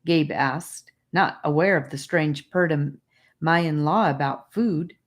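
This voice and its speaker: A woman's voice: sits low, slow pace. A woman reading a scripted audiobook story as its narrator.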